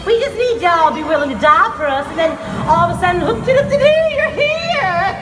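A woman's voice: very high voice, expressive, quick pace, music in the background. A woman playing a dead debutante ghost, giddy, cheeky and theatrical, in improvised comic banter with onlookers. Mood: playful. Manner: theatrical, silly, giggly, playful. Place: outdoors at night at a theme park attraction. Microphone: camera at a distance. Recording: noisy outdoor crowd recording with echo.

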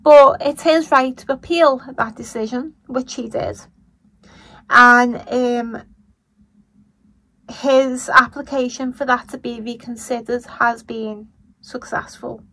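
Croaky, bright-voiced Liverpool woman. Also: on the high side, steady tone, slow, low-quality recording. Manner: blunt and indignant, swears when angry. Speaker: true-crime commentary vlogger. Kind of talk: casual news commentary. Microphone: voice-over over still images.

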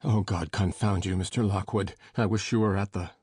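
Storybook master of the house, voiced by a man, low and husky, gruff and dark, irritable. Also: steady tone, moderate pace, no echo, clean studio sound. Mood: angry. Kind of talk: audiobook character voice.

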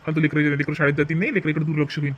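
A man's voice: fairly high pitch, flat delivery, rapid-fire, fairly bright tone, lo-fi sound. A man playing a bossy village husband and brother in a comedy cartoon, brusque and repetitive, with a Vidarbha Marathi accent. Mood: dismissive. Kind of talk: exaggerated cartoon family comedy.

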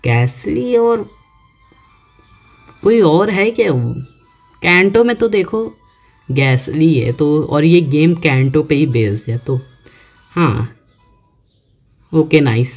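A man giving casual let's-play commentary, chatting to himself and reading on-screen text aloud slowly. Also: a little high, animated. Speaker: gaming YouTuber. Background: faint game music.

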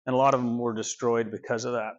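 A man in his fifties giving a casual walking tour of his car restoration workshop, relaxed and rambling with many fillers, recorded on a small clip-on mic. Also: mid-range voice, fast talker, lo-fi sound.

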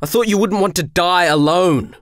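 A man's voice: high voice, moderate pace, no echo, studio quality, lightly acted. A man playing a youthful, imperfect angel in an audio drama, glib, blunt and coldly sardonic. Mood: disgusted, indignant. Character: flippant, cold, youthful imperfect male angel.

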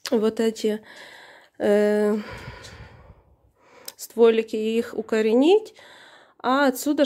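A woman narrating her own home video casually and hesitantly, slightly hoarse from being unwell. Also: medium pitch, dark tone. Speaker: plant vlogger. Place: indoors, room in a flat. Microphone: handheld camera or phone, behind the camera.

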